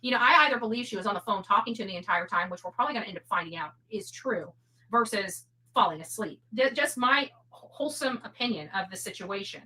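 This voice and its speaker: A woman giving casual, rambling opinion commentary in a halting way, often repeating her words. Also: medium pitch, animated, pushed and bright, low-quality recording. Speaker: online commentator.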